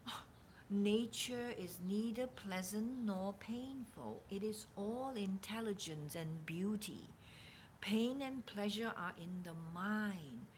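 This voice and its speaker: A woman reading spiritual teachings aloud in a deliberate, emphatic way, sometimes dropping toward a breathy near-whisper. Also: mid-range voice, animated, a little mellow, slight background noise, rough recording. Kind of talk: slow dramatic reading of spiritual dialogue with casual asides.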